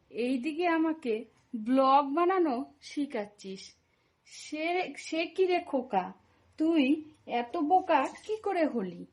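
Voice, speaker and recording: A greedy cartoon ghost uncle whines and complains, as a woman puts him on. His voice is shrill and high, and he drags out every word slowly and plaintively.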